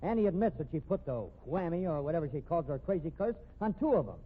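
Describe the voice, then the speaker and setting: An actor in a 1950s radio mystery playing an indignant, scheming newspaper reporter who demands and needles. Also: male voice, fairly high pitch, lively intonation, quick pace, slightly rough. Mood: needling. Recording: tinny band-limited old radio recording.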